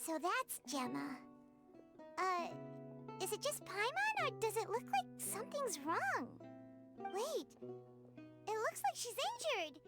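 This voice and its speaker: Paint her as a tiny floating fairy, squeaky and sky-high, her voice bouncing all over the place as she chatters about what she sees. Bright game music plays clearly underneath her lines. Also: full character voice. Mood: curious. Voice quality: squeaky childlike. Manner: excitable, quick to gossip. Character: tiny floating fairy companion, chirpy and nosy. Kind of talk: video game dialogue.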